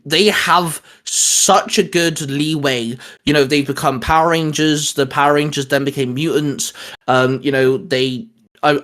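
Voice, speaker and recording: Higher-pitched man, enthusiastic and rambling. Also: fairly bright tone. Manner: enthusiastic, rambling, swears casually. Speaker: co-host of a geek discussion show. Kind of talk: casual chat about comics.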